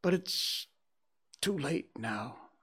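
A man's voice: fairly high pitch, expressive, moderate pace, voice pushed up. A man voicing an old man reliving his childhood in a storybook reading, exclamatory, stammering and sentimental. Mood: regretful pity.

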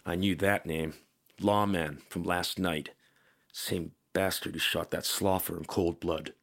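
Low, husky, weary veteran soldier. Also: male voice, fairly even intonation, unhurried, a bit bright, exaggerated voice. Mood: guarded, polite. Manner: terse, muttering to himself. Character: weary, wry veteran soldier wandering alone. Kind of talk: audio drama acting.

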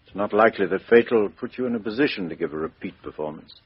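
A hearty middle-aged police inspector, his husky voice rising and falling with lively swings. The sound is dull, from an old 1950s radio recording. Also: deep voice, fast. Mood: calm. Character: gruff good-humoured middle-aged male police chief inspector. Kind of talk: 1950s radio drama acting.

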